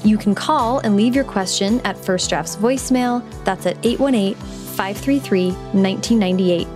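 A woman hosting a podcast, reading her intro and then chatting with a guest in an upbeat, quick way. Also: medium pitch, over music. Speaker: podcast host. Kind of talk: scripted intro then casual interview chat.

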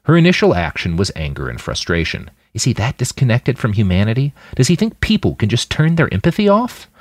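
A man reading scripted fiction as an audiobook narrator, with dramatic, expressive delivery. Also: mid-range voice, a little fast, no room sound, studio quality. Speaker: fiction podcast narrator and author.

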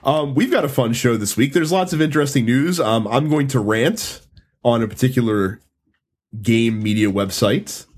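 A man's voice: mid-range voice, expressive, moderate pace, a little mellow. A man hosting a casual podcast, congested and nasal from a cold, chatty and laughing often. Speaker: podcast host.

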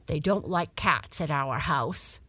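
A woman voicing a gruff but kindly male dog in a children's storybook, blunt, hearty and folksy. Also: low voice, lively intonation, takes it slowly, pushed and bright, no echo, full character voice. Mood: grudging apology.

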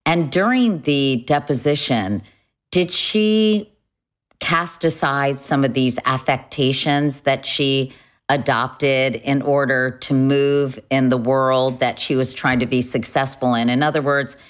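Woman, very deep voice, interviewer, conversational questioning. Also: slow. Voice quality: low, deep female voice.

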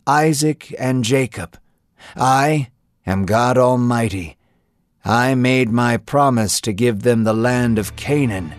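A solemn, commanding divine voice, put on by a male reader, speaks with compassion and strength. The delivery is slow and steady, with clear music running underneath. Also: mid-range voice, dry recording, lightly acted.

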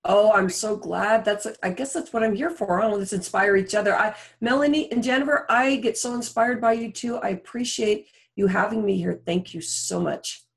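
A woman's voice: mid-range voice, moderate pace, few pauses. A woman talking casually as a podcast guest, effusive and encouraging in manner. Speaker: podcast guest, coach and author. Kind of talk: casual interview talk.

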